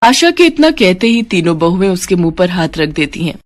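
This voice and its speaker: A woman's voice: lowish voice, very animated, fairly quick. A woman storyteller reading scripted narration of a family moral story.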